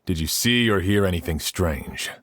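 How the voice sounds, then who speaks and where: An actor playing a calm male investigator who questions people in a measured, methodical way. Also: deep voice, slight background noise. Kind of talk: game voice acting.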